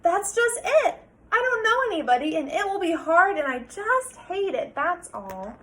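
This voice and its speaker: A woman's voice: extremely high pitch, sing-song, fairly bright tone, pitched above the performer's own voice, exaggerated voice. A woman reading a storybook as a reluctant girl who complains stubbornly about going to a new school. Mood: whiny protest. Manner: stubborn, complaining, drawn-out protests.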